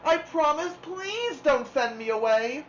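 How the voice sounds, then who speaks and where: Woman voicing an earnest, high-pitched young storybook girl. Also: animated, unhurried, a little hiss or hum. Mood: pleading. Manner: pleading, emotional. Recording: dull lo-fi sound, music behind.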